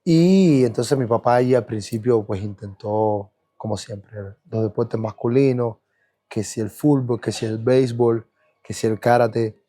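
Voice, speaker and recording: A man from Cuba with a Cuban Spanish accent, a dancer recounting his childhood in a relaxed, reflective podcast interview, with frequent fillers and restarts. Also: mid-range voice, a little slow. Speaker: guest dancer. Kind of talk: podcast interview, personal storytelling.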